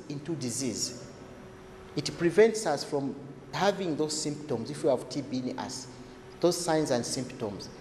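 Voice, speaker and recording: Echoey, fairly high-voiced man explaining. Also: a little mellow. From Zambia. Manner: didactic, repeats points, rhetorical questions. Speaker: health expert. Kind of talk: explanatory talk to camera. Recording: echoey reverberant room, faint noise.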